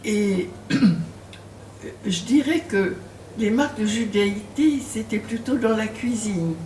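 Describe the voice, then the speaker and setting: A woman's voice: mid-range voice, slow pace, warm tone. An older woman author being interviewed in a bookshop, talking hesitantly with many fillers and laughing when she tells stories. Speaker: author and guest. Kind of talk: bookshop talk with audience. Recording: roomy, distant mic, faint noise.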